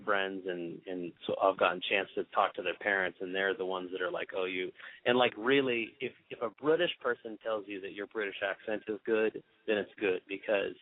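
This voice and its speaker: A man being interviewed on the radio, telling a story with many restarts and an animated manner. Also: low-pitched, a little fast, no echo. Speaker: guest pianist. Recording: tinny phone line, dry.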